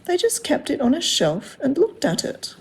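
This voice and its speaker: A woman reading aloud to children as a storyteller, in an expressive way with simple, direct phrasing. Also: high voice, normal speed, slight background noise.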